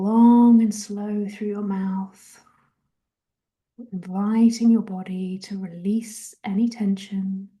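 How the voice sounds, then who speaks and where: A woman guides a meditation in a gentle, half-whispered voice. Her tone is extremely soft-edged and warm, with no brightness at all. She moves very slowly through each soothing instruction, at a medium pitch for a woman. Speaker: guided relaxation and meditation host.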